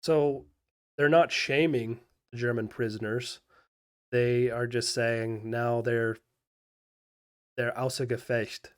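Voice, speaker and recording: A man hosting a history video, giving unscripted explanatory commentary in a measured way with pauses mid-thought. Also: medium pitch, steady tone, smooth.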